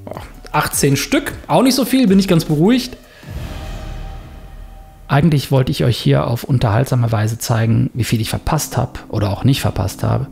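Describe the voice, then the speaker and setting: A man's voice: medium pitch, expressive, moderate pace, gravelly, a little mellow, soft background music. A young German man vlogging a week offline, narrating candidly and reflectively in voice-over and to camera. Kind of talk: self-experiment vlog with voice-over narration.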